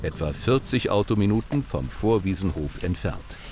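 A man reading a scripted documentary voice-over in a calm, measured way. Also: low-pitched, noisy background. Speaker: documentary narrator. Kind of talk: scripted narration. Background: music.

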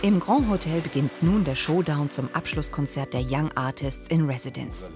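A woman's voice: sits low, normal speed. A woman reading scripted television documentary narration as a voice-over. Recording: background music under the voice-over. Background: music.

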